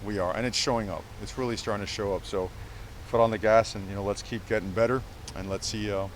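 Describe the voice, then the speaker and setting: A man's voice: fairly low pitch, fairly even intonation, fast, slight background noise, poor audio. A man coaching football, answering reporters in a press conference, emphatic and full of fillers and repeated words. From Cuba.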